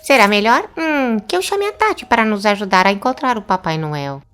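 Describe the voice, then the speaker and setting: A woman's voice: mid-range voice, sing-song, brisk, a bit bright, smooth, soft background music. A woman voicing a small, fretful Santa's helper in a children's cartoon. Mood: worried.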